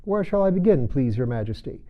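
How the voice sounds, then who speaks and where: A fussy, eager rabbit court herald voiced by a man, pitched well up and hurried in a deferential way. It is a storybook character on a slightly dull recording. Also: big pitch swings, lightly acted. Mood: eager, flustered.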